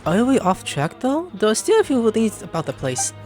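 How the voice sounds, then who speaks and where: A woman's voice: fairly high pitch, huge pitch swings, moderate pace. A woman doing playful game commentary and reading the dialogue aloud as she plays. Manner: playful, bursts into loud put-on voices. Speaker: game streamer. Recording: music behind the voice.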